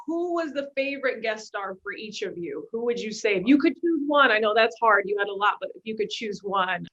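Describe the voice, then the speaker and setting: A slightly dull recording of a woman with a fairly high voice. She moderates a panel conversationally, putting questions to the group. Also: lively intonation, a little fast, fairly bright tone, a little hiss or hum. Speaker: moderator of a reunion Q&A. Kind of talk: conversational hosting.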